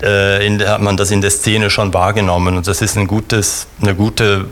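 A middle-aged man from Switzerland, a theater director answering interview questions thoughtfully with many pauses and restarts. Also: lowish voice, little pitch movement, a bit bright.

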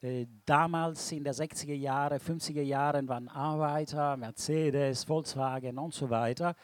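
Halting man. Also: on the high side, steady tone, dry recording. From Palermo, Sicily. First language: Sicilian. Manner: halting, searches for German words.

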